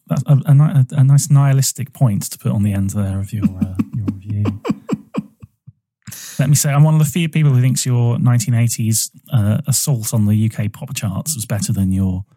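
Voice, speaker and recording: A man co-hosting a podcast, giving a measured, thoughtful album review with frequent ums. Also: mid-range voice, warm tone, no room sound. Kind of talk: casual review chat.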